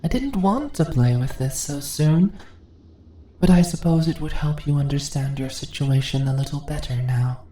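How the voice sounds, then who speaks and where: A woman voicing a seductive, dominant spider monster in an intimate ASMR roleplay, breathy and often whispering, with teasing, condescending questions. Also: sits low, moderate pace, warm tone, lightly acted. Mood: mocking, commanding. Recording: roomy echo, faint background noise.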